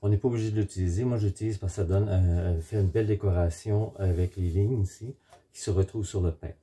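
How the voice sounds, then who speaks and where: A middle-aged man giving a step-by-step cooking demonstration in a home kitchen, explaining in short, halting instructional phrases. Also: deep voice, steady tone, mellow, slightly rough. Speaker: home baker presenting a recipe.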